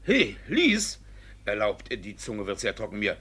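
A sly village judge in a classic radio drama. His voice is rough and husky, swinging widely in pitch. He blusters, dodges and stumbles over his words like a man hiding guilt. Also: wildly swooping pitch, measured pace, a bit bright, full character voice. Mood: slippery. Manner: blustering, evasive, hesitant stammering. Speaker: actor in a radio play. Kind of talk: theatrical classic radio play acting.

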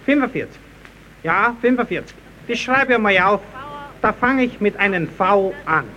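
An actor playing a cheeky, slow-witted slapstick puppet clown, stubborn and mock-naive, in a 1930s German radio comedy. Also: male voice, high-pitched, lively intonation, sharp tone, highs cut off, slight background noise. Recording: old 1930s radio recording.

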